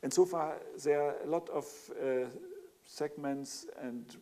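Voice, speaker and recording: A man's voice: a little high, steady tone, unhurried, warm tone. A man with a German accent speaking as a panelist, hesitant with many fillers and restarts. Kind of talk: panel discussion.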